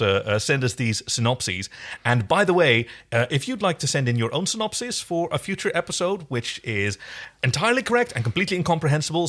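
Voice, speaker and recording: A man with a middle-pitched voice talks as a podcast co-host. His delivery is casual and unscripted, like chat between regulars. He drops in wry, jokey asides as he goes. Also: a bit bright.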